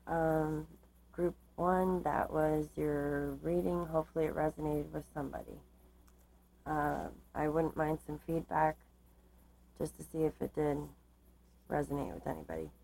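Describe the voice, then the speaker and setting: A woman giving a tarot card reading, reading aloud and explaining in a measured, halting way, her voice slightly starting to give out. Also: deep voice, vocal fry, warm tone, a little hiss or hum, poor audio. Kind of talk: tarot reading, part read aloud from cards, part informal explanation.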